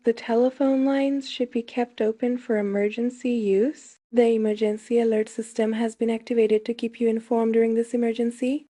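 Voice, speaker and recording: A woman reading a scripted emergency announcement in a formal, measured way. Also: fairly high pitch, warm tone.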